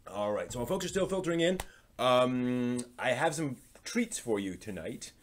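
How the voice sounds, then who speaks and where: A man hosting a live stream, delivering news commentary in an informal, halting way and often trailing off at the ends of phrases. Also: mid-range voice, very animated, slight background noise.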